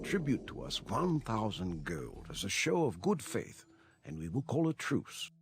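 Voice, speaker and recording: An actor playing a haughty, war-weary military envoy in a video game, with a declamatory delivery. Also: male voice, fairly low pitch, sing-song, measured pace. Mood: stern, weary. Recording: loud music behind. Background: music.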